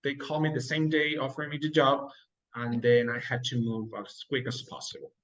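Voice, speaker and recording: A man's voice: medium pitch, steady tone, a little fast, fairly bright tone, muffled recording. A young man from Mexico, Spanish his first language, explaining his path step by step in a casual interview. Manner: earnest, explains step by step, occasional laughs. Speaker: interview guest, dentist. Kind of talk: casual interview, giving advice.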